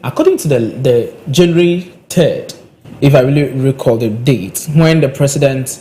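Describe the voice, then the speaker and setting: A man co-hosting a live broadcast, talking in a measured way with frequent hesitations. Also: medium pitch, mellow, slight background noise. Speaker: radio talk show co-host.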